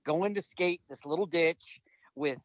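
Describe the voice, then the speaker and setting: A man's voice: a little high, unhurried, no echo, muffled recording. Rambling, upbeat man in his fifties. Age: 50s. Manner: rambling, enthusiastic, trailing restarts. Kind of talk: casual podcast conversation.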